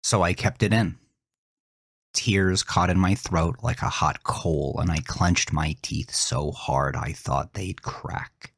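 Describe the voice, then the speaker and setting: A man narrating a first-person horror story with intense, deliberate, theatrical delivery and a husky voice. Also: low voice, steady tone, a bit bright, dry recording.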